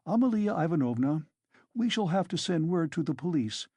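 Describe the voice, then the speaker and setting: A reader voicing a pompous, reproachful middle-aged man who speaks smoothly and formally. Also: mid-range voice, lively intonation, normal speed, dark tone, dry recording, pitched above the performer's own voice.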